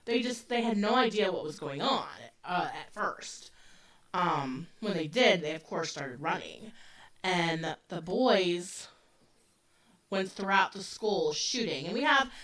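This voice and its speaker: A woman in her forties talks through a true-crime case in a loose, chatty way with plenty of fillers. Her voice is bright and forward, though she sits a little back from the microphone, so it sounds slightly distant. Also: fairly low pitch, lively intonation, takes it slowly. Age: 40s. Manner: loose and conversational, with frequent fillers. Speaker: podcast co-host. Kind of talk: casual true-crime chat. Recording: voice a bit distant from the mic.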